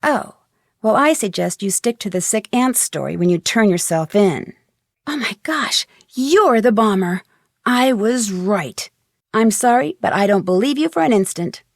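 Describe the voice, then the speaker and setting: A woman's voice: mid-range voice, lively intonation, unhurried, no echo, studio quality. An actress playing a polite, determined young woman detective in a video game, speaking in an earnest way. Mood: accusing. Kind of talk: acted video game dialogue lines.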